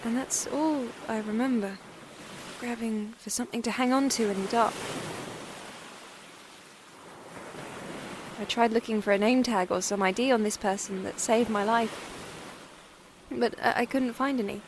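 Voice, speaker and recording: A young female plane-crash survivor played by a woman confides her diary in an audio drama. Her voice sits fairly high, halting and plain-spoken, frightened and weary with wry understatement. There is clear noise behind her. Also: steady tone, natural voice. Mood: shaken, subdued. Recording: clearly noisy background.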